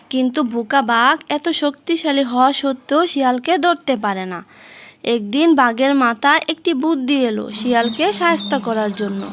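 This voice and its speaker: High-pitched woman narrating a children's story. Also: fairly bright tone.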